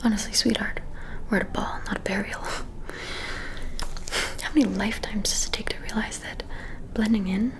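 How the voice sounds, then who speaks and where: A woman's voice: medium pitch, fairly even intonation, normal speed, pauses often, natural voice. A woman playing a haughty, catty vampire noblewoman in an ASMR roleplay, with a disdainful, theatrical drawl. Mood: mocking disdain.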